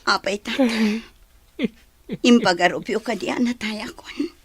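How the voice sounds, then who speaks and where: A woman playing a gentle, homesick grown daughter in an audio drama, with a breathy, husky voice. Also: fairly high pitch, a little slow, no room sound. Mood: tender, emotional. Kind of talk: Filipino radio-style drama acting.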